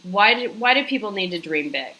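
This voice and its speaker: Bright, enthusiastic woman host. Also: mid-range voice, lively intonation, brisk. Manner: enthusiastic and affirming. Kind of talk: interview hosting.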